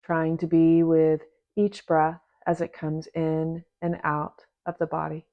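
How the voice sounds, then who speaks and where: Female meditation teacher, very flat, unvarying delivery, gentle instruction with long pauses, slightly muffled recording. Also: low voice. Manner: gentle, unhurried, with long pauses between short phrases. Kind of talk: guided meditation, soft instruction.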